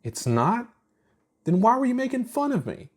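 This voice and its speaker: A man's voice: very high voice, sing-song, normal speed, pitched above the performer's own voice, exaggerated voice. A man voicing a defensive, easily flustered young monster girl for a story. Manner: indignant, easily flustered, stammering.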